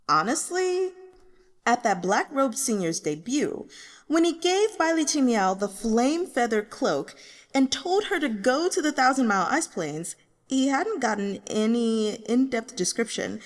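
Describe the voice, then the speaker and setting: Woman putting on high-pitched, excitable online fan commenters. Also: in a large hall. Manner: slangy, exclamatory, fast-reacting.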